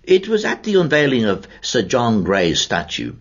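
A man voicing an excitable middle-aged gentleman who tells an old memory with animated exclamations. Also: medium pitch, normal speed, breathy edge, lo-fi sound, voice pushed up, full character voice.

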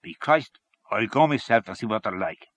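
A man's voice: on the low side, lively intonation, normal speed, no room sound, highs cut off, close to an everyday voice. A man voicing a blustering, opinionated political canvasser in a fierce, emphatic, colloquial manner, in a story reading. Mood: blustering, persuasive.

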